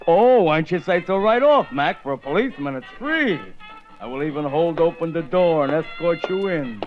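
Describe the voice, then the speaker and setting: A man's voice: on the high side, expressive, moderate pace, lightly acted. An actor in a 1950s radio drama playing a pushy dance hall doorman who turns fawning toward the police. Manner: brash, fawning, wisecracking. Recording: dull old radio broadcast, music behind.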